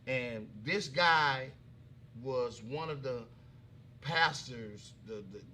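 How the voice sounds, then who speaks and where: A man's voice: medium pitch, very slow, bright, forceful tone, slight background noise. A man hosting a casual talk show, folksy and chatty, often repeating himself and addressing the audience directly. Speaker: comedian. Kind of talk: casual chat.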